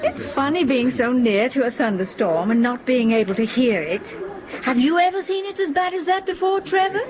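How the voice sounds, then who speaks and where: Casting: high-pitched, lively female passenger, insistent and teasing, radio drama in a busy cabin. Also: normal speed, a crowd in the background. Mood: teasing, curious. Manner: animated, insistent. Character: excitable, teasing woman airline passenger. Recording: busy aircraft cabin behind voice.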